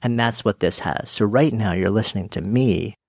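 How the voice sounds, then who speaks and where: A man with a deep voice and a bit of fry talks through his recording gear in a relaxed, friendly way. The sound is dry and close, with no room around it, as he switches between a studio microphone and a headset. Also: normal speed, dry recording. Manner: friendly, demonstrative, self-deprecating. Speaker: podcaster showing his gear. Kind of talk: casual explainer talk. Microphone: studio dynamic mic on swing arm, later headset mic.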